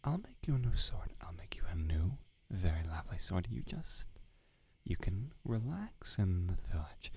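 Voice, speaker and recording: A man's voice: sits low, sing-song, moderate pace, warm tone, slight background noise. A man playing a prickly, irritable village swordsmith, in whispered, sarcastic roleplay ASMR. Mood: placating. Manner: whispered, exasperated, sarcastic scolding. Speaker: ASMR voice actor.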